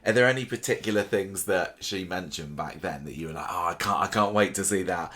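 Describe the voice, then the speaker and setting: A man with a slightly croaky voice, hosting a podcast with casual, chatty talk about a TV soap and often rephrasing as he goes. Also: deep voice, a little fast, pushed and bright. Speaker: podcast host.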